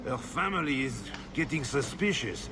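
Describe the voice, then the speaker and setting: A man's voice: medium pitch, expressive, normal speed, very noisy, natural voice. An actor playing a self-pitying, petulant nobleman husband with an indignant, self-serving manner, in video game dialogue. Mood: bitter, defensive.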